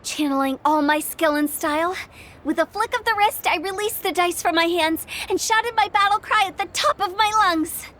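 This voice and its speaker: A woman's voice: very high voice, normal speed, fairly bright tone, a little hiss or hum. A woman voicing a playful, boastful teenage girl in a video game, telling her tale with cheeky theatrical flair. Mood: dramatic, boastful. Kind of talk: video game character acting.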